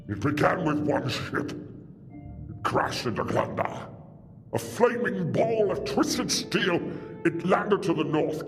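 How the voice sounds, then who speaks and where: A man's voice: sits high, heavily acted. A man playing a grave, ruthless alien warlord in an audio drama, solemn and declamatory, embittered by war. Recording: long reverberant hall, faint music.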